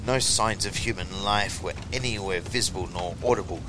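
A man giving a theatrical, deliberate and emphatic reading of a horror story as its narrator. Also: low voice, noisy background.

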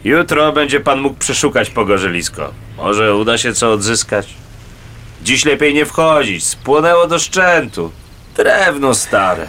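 Bright, forceful, official firefighter. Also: male voice, medium pitch, very animated, a little slow, slight background noise. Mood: official, matter-of-fact. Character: matter-of-fact male firefighter at a burnt-out shack. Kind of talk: Polish radio drama acting.

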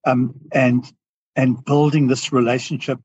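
A man's voice: mid-range voice, level tone, a little slow, a little mellow, husky, no echo, muffled recording. A man with a South African accent, a business owner speaking as a podcast guest, earnest and reflective, often restarting his sentences. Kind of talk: podcast interview.

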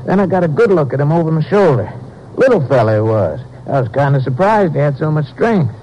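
An actor playing a folksy, obliging night watchman in a 1950s radio drama, speaking in a halting, rural way. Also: fairly high pitch, sing-song, warm tone. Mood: earnest, recounting. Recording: noisy old radio broadcast.

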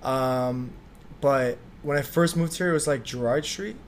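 A young man, talking as a guest in a casual interview in a relaxed, easygoing way with frequent "like"s. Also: mid-range voice, unhurried, slight background noise. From Slovakia. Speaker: guest, clothing designer.

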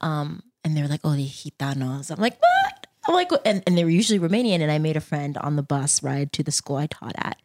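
A woman hosting a podcast, asking questions in a curious, chatty way with animated asides. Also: deep voice, a little fast. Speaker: podcast host. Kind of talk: casual interview chat.

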